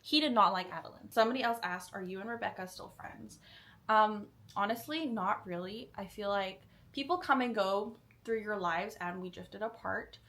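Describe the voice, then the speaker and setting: A woman's voice: medium pitch, moderate pace, a bit bright. A young woman from Singapore in a casual question-and-answer chat, talking candidly with frequent "like" and "um". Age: young adult. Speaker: vlogger.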